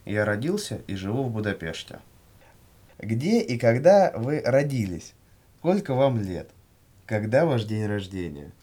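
Husky, lively-pitched man reading lesson dialogue. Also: measured pace. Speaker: language-lesson questioner and model speaker. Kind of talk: scripted language-lesson dialogue, read aloud.

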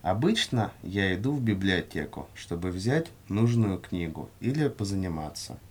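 A man reading scripted language-course answers in a measured, carefully enunciated way. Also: sits low, big pitch swings, husky. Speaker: language lesson reader.

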